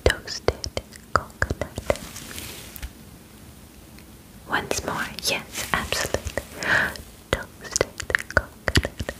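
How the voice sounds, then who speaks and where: A young woman plays a calm alien examiner in an ASMR roleplay. The voice is whispered and very slow, close on the microphone. The manner is formal and methodical, gently reassuring the human under inspection. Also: natural voice, low-quality recording. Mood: calm, clinical. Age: 20s. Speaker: ASMR performer. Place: bedroom studio, indoors.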